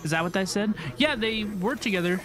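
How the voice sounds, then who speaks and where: A woman giving casual, excited reaction commentary as a video host, exclamatory and slangy, often repeating herself. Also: mid-range voice, fairly quick.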